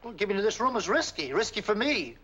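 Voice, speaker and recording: An actor playing a crotchety, stingy small-town innkeeper with a rural American accent, grumbling and curt in 1940s film style. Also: male voice, high-pitched, big pitch swings, fast talker, bright, forceful tone, slightly rough, exaggerated voice. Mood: grudging. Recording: muffled, noisy old film soundtrack.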